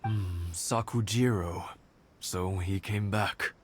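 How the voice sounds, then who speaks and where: A gentle old village husband. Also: male voice, deep voice, steady tone, slow. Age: older. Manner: warm reminiscing storyteller, soft chuckles. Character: kindly elderly village husband, gentle and nostalgic. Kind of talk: game voice acting. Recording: studio game dialogue with loud music.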